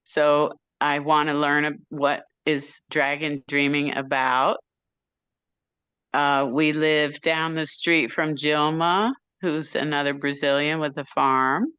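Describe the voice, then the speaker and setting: Low smooth-voiced lady, slow and chatty, dry close mic. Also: flat delivery, fairly bright tone, no room sound. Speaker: farm owner, meeting participant. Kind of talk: casual introduction in a group meeting.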